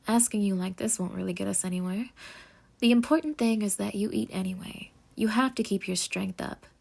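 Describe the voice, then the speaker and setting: A woman playing a sweetly possessive, obsessed girlfriend in an intimate roleplay audio, coaxing and dramatic with breathy asides. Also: mid-range voice, brisk, a little mellow. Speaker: ASMR voice actor.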